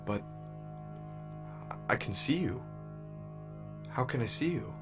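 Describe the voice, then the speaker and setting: An actor playing an awed, questioning new security recruit in a noir science fiction audio drama, sounding incredulous. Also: male voice, mid-range voice, lively intonation, slow, over music. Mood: alarmed, bewildered.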